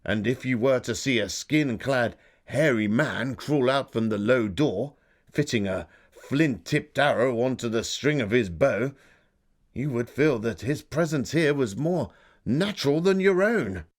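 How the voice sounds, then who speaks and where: A man voicing an earnest Victorian gentleman, reading his diary as a solemn, dramatic literary storyteller. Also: medium pitch, lively intonation, measured pace. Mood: awed, reflective.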